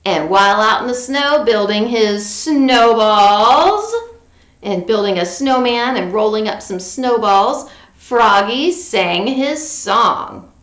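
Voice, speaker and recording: A woman's voice: fairly high pitch, animated, slow, a bit bright, reverberant. A woman reading a picture book to children in a playful, interactive way, coaxing listeners along. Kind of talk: animated children's read-aloud with sung refrains and asides.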